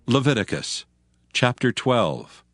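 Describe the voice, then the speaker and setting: A man's voice: medium pitch, sing-song, slow pace, a bit bright. A man narrating dramatized scripture from a script in a solemn, formal manner.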